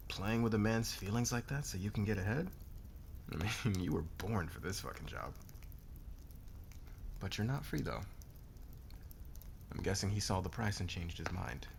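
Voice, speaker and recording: A man playing a demanding, smug corporate boss in an intimate ASMR roleplay, probing and deliberate, with a threatening manner. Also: on the low side, creaky, slight background noise. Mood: probing, amused. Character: dominant, demanding male corporate boss, smug and calculating interrogator.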